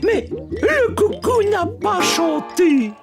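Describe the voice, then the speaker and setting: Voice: very high-pitched, sing-song, slow, over loud music, heavily acted. An actor voicing a flustered, lamenting male roly-poly toy in a French children's cartoon, wailing with repeated oh-ohs. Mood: panicked, lamenting.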